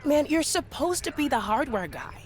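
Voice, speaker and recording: An actress playing a sardonic, tech-savvy young programmer in a video game, blunt and teasing with a dry manner. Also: female voice, high voice, very animated, normal speed. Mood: exasperated. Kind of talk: video game character dialogue.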